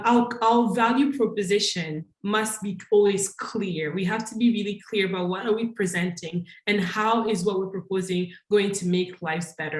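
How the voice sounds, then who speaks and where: A woman from Congo speaks at a conference in a mid-range voice. She talks in a thoughtful, searching way, pausing with fillers and reworking her sentences. Her voice carries a noticeable room echo. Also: medium pitch. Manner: thoughtful, with frequent restarts and fillers. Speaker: conference panelist. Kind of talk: conference talk.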